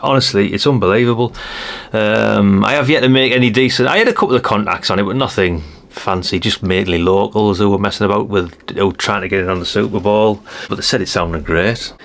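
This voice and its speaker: A man's voice: on the low side, a little fast, vocal fry, few pauses, fairly bright tone. A British man giving a casual hands-on showcase as a video host, chatty and rambling with frequent tag questions. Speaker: host of a radio hobby channel.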